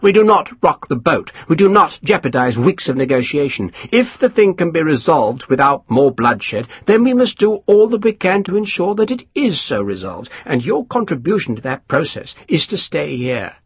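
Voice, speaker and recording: Man playing a pompous colonel, husky, swooping pitch, snappish, condescending, audiobook. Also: very animated, moderate pace, few pauses, no echo, exaggerated voice. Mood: snapping. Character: pompous scheming male British colonel. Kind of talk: audiobook character voice.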